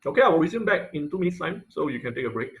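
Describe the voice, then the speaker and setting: A man teaching an informal online class and taking questions, speaking haltingly and often repeating phrases as he searches for words. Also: a little high, sing-song. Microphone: online video-call audio.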